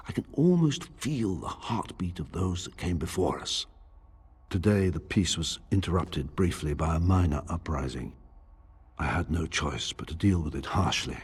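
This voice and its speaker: A brooding nobleman consumed by grief and obsession, reading from his private diary in a fantasy game drama. His voice is very low and heavily husky, full of breath. The theatrical, deliberate phrasing swings widely in pitch as his tyrannical madness shows through. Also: a little mellow, exaggerated voice. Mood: wistful, entranced. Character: grieving, obsessive lord descending into tyrannical madness. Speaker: voice actor.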